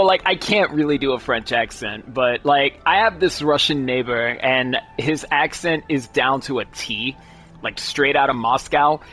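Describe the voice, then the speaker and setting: A man streaming a video game, chatting casually and excitably, rambling with lots of fillers and restarts. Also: medium pitch. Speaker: gaming streamer. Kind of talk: casual live commentary while gaming. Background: faint game music.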